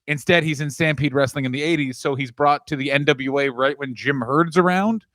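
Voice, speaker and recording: A man co-hosts a podcast in loose, jokey banter, often talking over his partner with playful mock outrage. His voice sits a little high, and the recording is close and dry. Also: brisk, a bit bright, dry recording. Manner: joking, interrupting, playful. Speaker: podcast co-host. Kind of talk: casual comedic chat.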